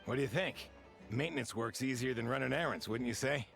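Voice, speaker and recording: A man voicing a gruff but easygoing young worker in a video game, talking in an offhand, blunt, casual way. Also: mid-range voice, brisk, fairly bright tone, soft background music.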